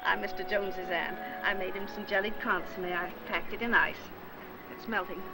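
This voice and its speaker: An actress playing a fussy, talkative, well-meaning older aunt in a 1950s Hollywood film, chatty and fretful. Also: female voice, medium pitch, fairly even intonation, moderate pace, very noisy, natural voice. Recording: old film soundtrack, faint music.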